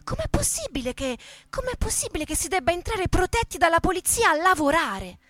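Woman voicing an indignant young male factory worker, bright and forceful. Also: very high voice, very animated, brisk, voice pushed up, exaggerated voice. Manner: emphatic, questioning, declamatory.

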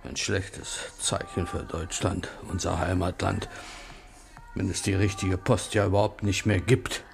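An actor playing an indignant, suspicious resident who complains and scolds self-righteously in a radio drama. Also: male voice, low voice, steady tone, a little slow, gravelly, faint music behind. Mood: aggrieved. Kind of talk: German radio drama acting.

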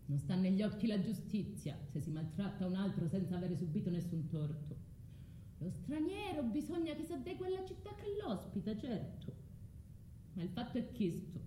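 An actress with a southern Italian accent playing a proud, vengeful, scorned foreign wife in a declamatory radio drama monologue. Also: female voice, mid-range voice, lively intonation, normal speed, warm tone, reverberant, a little hiss or hum, close to an everyday voice, rough recording. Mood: bitter, reflective.

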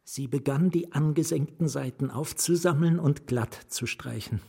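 An actor playing an anguished, fearful novelist in a radio drama, narrating his own ruin with a husky voice that drops into whispers. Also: fairly high pitch, mellow. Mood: subdued. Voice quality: husky, breathy. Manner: deliberate, dropping into whispers. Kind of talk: literary radio drama first-person narration.

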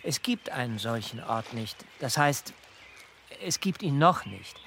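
An actor playing a thoughtful, persuasive male mentor in a radio drama, advising in deliberate, measured phrases. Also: medium pitch, lively intonation, gravelly, noisy background. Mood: grave, urgent. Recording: faint music behind the voice. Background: faint music.

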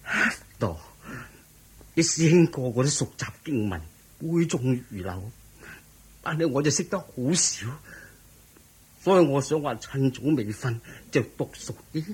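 An actor playing an earnest, anxious young monk with a husky voice and fretful manner, in Hong Kong Cantonese radio drama style. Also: male voice, medium pitch, lively intonation, unhurried. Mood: dejected, fretful.

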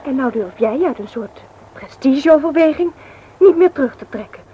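A woman's voice: sits high, animated, normal speed, dull audio. A woman acting a reproachful, headstrong young woman in a radio play. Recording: noisy old radio play recording.

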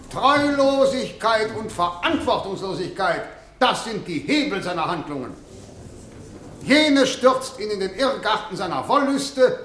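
An actor in a German radio play playing a severe, probing prosecutor who speaks in measured, declamatory, rhetorical phrases. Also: male voice, sits high, a bit bright, reverberant, slight background noise. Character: formal, probing, severe male prosecutor, eloquently moralizing.

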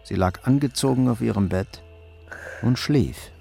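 A man's voice: medium pitch, a little slow, creaky, mellow. A man narrating a crime radio play in a scripted, literary style. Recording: music under the voice. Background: music.

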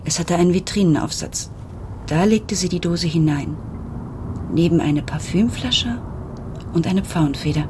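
An actress playing a reflective woman who narrates her family's story in a literary radio drama, in measured phrases. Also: lowish voice, noisy background, lightly acted. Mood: reminiscing. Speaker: first-person narrator.

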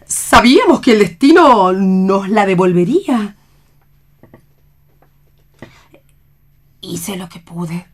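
Una actriz interpreta a una madre tierna y devota, con tono lírico y declamatorio de teatro leído por radio. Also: female voice, mid-range voice, expressive, unhurried. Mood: firm resignation. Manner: lyrical, declamatory. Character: tender, devoted mother in Pierrot costume. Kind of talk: theatrical radio play reading.

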